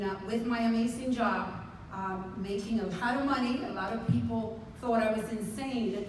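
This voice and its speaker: A woman's voice: fairly high pitch, a little hiss or hum. A woman, speaking earnestly and proudly as a medical center CEO on a conference panel. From Dominican Republic. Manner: earnest and heartfelt, speaks with pride. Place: large conference hall. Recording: very echoey hall from far off.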